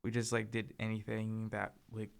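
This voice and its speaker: A young man co-hosting a casual chat, soft-spoken and hesitant, filling his talk with "like" and "um". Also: fairly low pitch, monotone, normal speed, creaky. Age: young adult. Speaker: podcast co-host.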